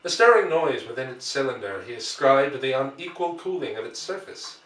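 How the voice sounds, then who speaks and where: A man's voice: medium pitch, big pitch swings, fairly quick, a bit bright. Man reading literary prose in an echoey room. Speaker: audiobook reader. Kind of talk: scripted literary narration. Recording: echoey room, distant from the mic.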